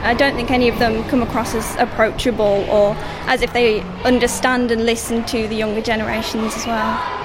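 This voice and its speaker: A woman's voice: on the high side, little pitch movement, brisk. A 20-year-old woman at a youth centre giving plain, frank answers. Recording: busy room with crowd and noise.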